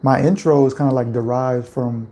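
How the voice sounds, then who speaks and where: A man from Louisiana with a Louisiana accent, chatting casually on a livestream and reading out viewer comments in a relaxed, rambling way. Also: medium pitch, normal speed, a little hiss or hum. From Louisiana, USA. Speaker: livestream host.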